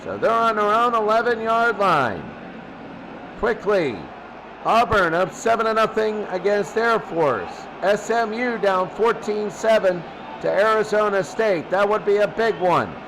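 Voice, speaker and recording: A man's voice: high voice, lively intonation, a little slow, noisy background. A man calling American football play-by-play in short clipped phrases, repeating himself for emphasis. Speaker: play-by-play announcer.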